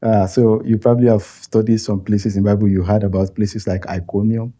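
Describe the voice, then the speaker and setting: A Nigerian man with a low, mellow voice, his first language Yoruba. He talks as an interview guest, thoughtful and halting. He restarts his phrases and chuckles often as he explains. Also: flat delivery. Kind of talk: interview conversation.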